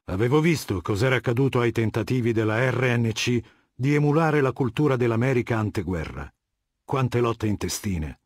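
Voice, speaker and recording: An actor playing a cold, commanding, intellectual warlord dictator in a video game, speaking with deliberate, lecturing authority. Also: male voice, mid-range voice, no echo, clean studio sound, natural voice. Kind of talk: dramatic game dialogue, monologue.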